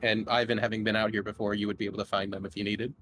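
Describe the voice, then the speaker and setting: A man's voice: lowish voice, little pitch movement, rapid-fire, fairly bright tone. Male game master over background noise. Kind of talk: casual tabletop game narration.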